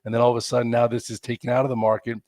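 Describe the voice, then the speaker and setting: A man's voice: medium pitch, completely flat delivery, very fast, darkish tone, no echo. A man, congested and losing his voice, hosting a chatty, rambling live stock market show with lots of fillers. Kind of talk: casual live market commentary.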